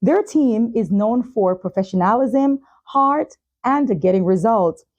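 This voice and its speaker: Mellow-voiced woman hosting a talk show. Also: a little high, expressive, measured pace, dull audio. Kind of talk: hosted talk show, sponsor reads and interview questions.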